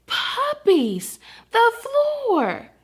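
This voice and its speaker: Lady doing fed-up mama dog from a kids book, drawn out slow, high voice. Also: huge pitch swings, full character voice. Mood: shocked dismay. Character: exasperated, weary mother dog of two puppies. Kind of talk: read-aloud children's storybook character.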